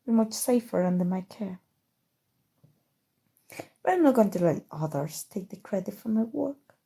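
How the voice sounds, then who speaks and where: Woman doing an evil sorceress asmr, real dark mellow voice, husky n breathy, calm but possessive, coaxing you. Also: medium pitch, normal speed. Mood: possessive, intense. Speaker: voice actor. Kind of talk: ASMR roleplay, scripted acting.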